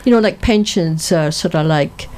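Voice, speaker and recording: This is an older woman with a strong Scottish accent, hosting a relaxed community radio chat. Her voice sits a little low for a woman, and she laughs easily between questions. There's a faint hum of noise behind her. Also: moderate pace. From Scotland. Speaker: radio show host. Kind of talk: casual radio interview.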